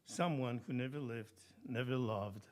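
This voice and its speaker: A man reading his own poems and stories at a live event in a deliberate, measured way. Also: medium pitch. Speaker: poet reading own work. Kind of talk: live poetry reading with storytelling.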